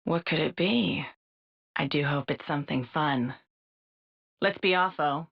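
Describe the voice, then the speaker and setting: A woman's voice: sits low, unhurried, vocal fry, husky, dull audio, exaggerated voice. A woman playing a mischievous villain in a video game cutscene, with a taunting drawl. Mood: playful.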